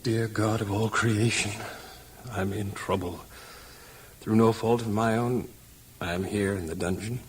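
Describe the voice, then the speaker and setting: An actor playing a patient, devout male prisoner, speaking gently and earnestly in a children's audio drama. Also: fairly low pitch, fairly even intonation, unhurried, long pauses, a little hiss or hum, close to an everyday voice. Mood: prayerful. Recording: music underneath.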